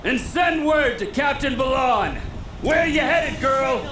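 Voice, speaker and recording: A brash soldier calling out commands in a video game. His voice is very high and forceful, ringing with echo. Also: expressive, slow, heavily acted. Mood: commanding. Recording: echoey, faint ambient noise.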